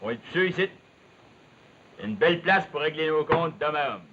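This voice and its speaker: A man's voice: a little high, expressive, moderate pace, pushed and bright. A male actor playing a brawny, bold, sociable backwoods log driver in a 1950s television drama, with a Quebec French accent and colloquial, challenging rural speech. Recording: muffled, noisy old television soundtrack.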